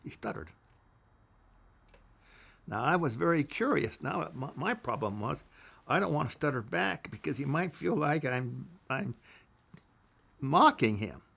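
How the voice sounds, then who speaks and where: An older man tells stories from his own life, slowly and deliberately, driving points home by repeating himself. His voice is gravelly and his pitch moves a lot. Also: expressive, fairly bright tone. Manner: deliberate, repeats phrases for emphasis. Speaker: storyteller recounting his life. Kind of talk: casual oral memoir.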